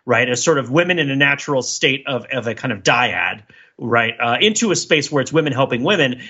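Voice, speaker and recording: Man, bright husky voice, very animated intonation, wordy emphatic analysis, casual podcast talk, slightly dull recording. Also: medium pitch. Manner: wordy and emphatic, often checking with "right?". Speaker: podcast co-host discussing a TV series. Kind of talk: casual analytical discussion among co-hosts.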